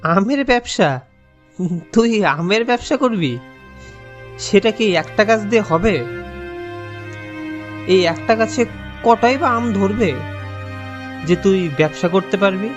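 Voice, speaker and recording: A man's voice: sits high, animated, slow, long pauses. A man playing a scheming older village moneylender in a cartoon, drawling and patronizing as he coaxes. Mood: dismissive, coaxing. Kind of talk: cartoon character dialogue. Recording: dull lo-fi voice over faint music.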